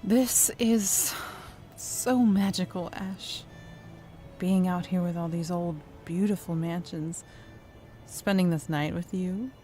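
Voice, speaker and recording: Slow, mellow romantic woman professor. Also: lowish voice, faint music behind. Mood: tender. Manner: wordy, flowery, effusive. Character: tipsy romantic woman professor, secretive, tender lover. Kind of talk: naturalistic audio drama acting.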